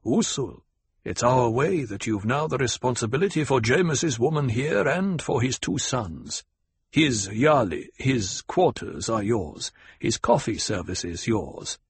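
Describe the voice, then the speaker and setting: A man voicing a stern, measured leader of a desert tribe, commanding and deliberate, in an audiobook. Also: mid-range voice, expressive, slightly rough, exaggerated voice. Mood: solemn.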